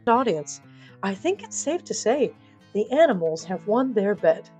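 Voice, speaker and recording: A woman narrates a scripted fiction podcast over music. Her voice sits at a middle pitch, and her delivery is theatrical, wry and playful, as if confiding in the listener. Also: sing-song, unhurried, a little mellow.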